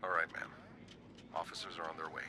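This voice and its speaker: A man playing a calm, official police dispatcher on a phone call in a video game. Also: extremely low pitch, a little fast. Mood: calm, businesslike. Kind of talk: scripted phone dispatch dialogue. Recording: very noisy distant phone call.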